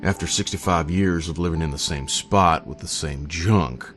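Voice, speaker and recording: A man's voice: low voice, moderate pace, fairly bright tone. A man playing a weary, grumpy old widowed farmer who tells a horror story in a folksy, wry, self-deprecating way. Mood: wistful, dry. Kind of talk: first-person horror story narration. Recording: voice over background music.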